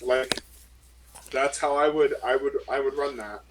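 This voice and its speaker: A man's voice: mid-range voice, unhurried, slight background noise, rough recording. Rambling man playing a tabletop game. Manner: rambling, filler-heavy. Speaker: player. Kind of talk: casual tabletop game chat.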